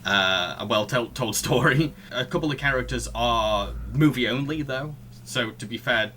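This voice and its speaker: Male podcast host, bright voice, chatty and amused, with a faint hum behind him. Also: medium pitch, moderate pace. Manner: laughs often, quotes his own reactions. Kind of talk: casual podcast chat.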